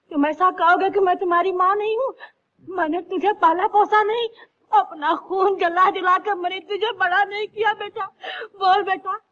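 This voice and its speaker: A woman's voice: very high voice, a bit bright. An actress playing a poor village mother who pleads with her son through tears, in an old film drama. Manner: pleading, tearful. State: crying. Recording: dull old film soundtrack.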